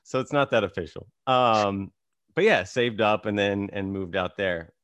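A man in his thirties hosting an interview show, relaxed and rambling, with many fillers. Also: on the low side, moderate pace, clear, resonant tone, no room sound. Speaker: podcast host. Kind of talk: casual interview chat.

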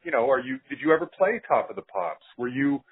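Casual male podcast host, band-limited phone-like audio, husky tone, loose rambling questions. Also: mid-range voice, big pitch swings, a little fast. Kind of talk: casual interview chat.

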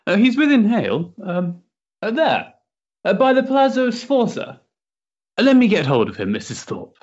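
An actor playing a restless, sensitive young Victorian gentleman in a stage play, hesitant and politely mannered. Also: high voice, huge pitch swings, moderate pace, highs cut off, exaggerated voice. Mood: flustered, polite. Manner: hesitant, polite, mannered upper-class diction. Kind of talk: period stage-play acting.

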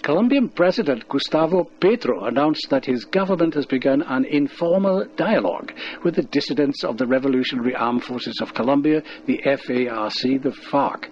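A man's voice: medium pitch, expressive, a little fast, few pauses, a little mellow, dull audio. A man reading scripted news as a radio newsreader on a shortwave broadcast.

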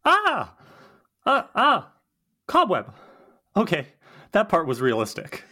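A woman's voice: medium pitch, huge pitch swings, takes it slowly, sharp tone, full character voice. Anxious young witch, wildly swooping voice, acted by a woman. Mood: squeamish, panicky. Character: Willow, eager but anxious young witch, timid and squealing. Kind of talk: podcast script table read.

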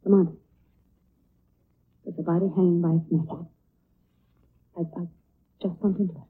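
A woman's voice: fairly low pitch, steady tone, moderate pace, a little hiss or hum, lightly acted. An actress playing an anxious, frightened lady in a 1940s radio drama, breathless and melodramatic. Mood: startled. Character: anxious, frightened young lady, sweetheart companion to detective. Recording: narrow, muffled old radio recording.

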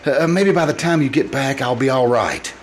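An actor playing a confused, forgetful, mild-mannered husband in old-time radio drama style, with halting, stammering delivery. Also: male voice, medium pitch, natural voice. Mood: gentle, flustered. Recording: noisy live stage performance recording.

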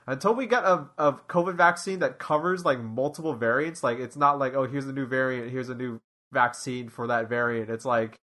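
A man's voice: mid-range voice, moderate pace, hardly any pauses, sharp tone. A man in his late twenties co-hosting a podcast, talking casually and rambling with many restarts and fillers. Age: 20s. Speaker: podcast co-host. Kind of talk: casual chat.

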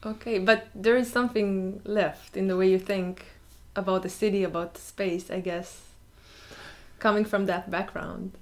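A woman's voice: mid-range voice, fairly even intonation, normal speed. A woman interviewing a guest in a friendly, casual way, with many fillers.

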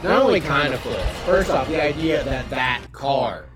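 A man's voice: mid-range voice, animated, moderate pace. A man hosting a podcast, giving casual comedic movie commentary in a profane, mock-incredulous riffing way. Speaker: podcast host.

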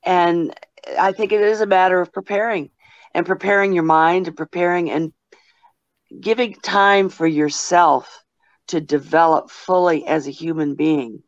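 An older woman speaking as a panelist in a casual online discussion, reflective and measured. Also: fairly low pitch, steady tone, dry recording. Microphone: video call. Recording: dull, dry lo-fi call audio.

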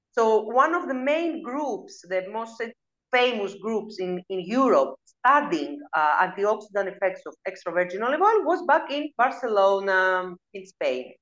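A woman's voice: fairly high pitch, fairly bright tone, highs cut off. A Greek woman in her forties, a nutritionist, answering interview questions in English with a Greek accent and frequent hesitant fillers. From Greece. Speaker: guest, nutritionist. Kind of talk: casual interview talk.

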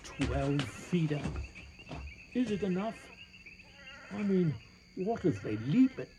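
Jittery British colonial inspector, swooping high voice. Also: male voice, very animated, noisy background, heavily acted. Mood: frightened, rattled. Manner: agitated, halting, blustering. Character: frightened, unraveling male colonial police inspector in Africa. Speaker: actor in a radio drama. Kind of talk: 1980 radio drama acting.

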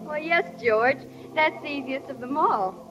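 High-voiced, earnest teenage girl. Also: unhurried, sharp tone, soft background music. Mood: friendly. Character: earnest, self-conscious teenage small-town schoolgirl. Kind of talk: 1940s radio drama acting. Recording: dull, reverberant 1940s radio recording.

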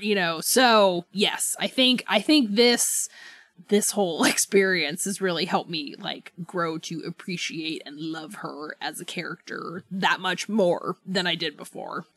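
A woman co-hosting a podcast, chatting casually and enthusiastically like a fan, quick to giggle. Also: medium pitch, a bit bright. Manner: giggly, prone to laughing; informal, enthusiastic fan talk. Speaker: podcast co-host. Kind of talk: casual book club chat.

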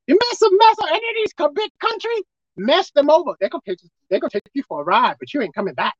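A man with a squeaky voice preaching a sermon as pastor, emphatic and forceful. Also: animated, quick pace, no room sound, lo-fi sound. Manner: emphatic, pitch leaping up and down.

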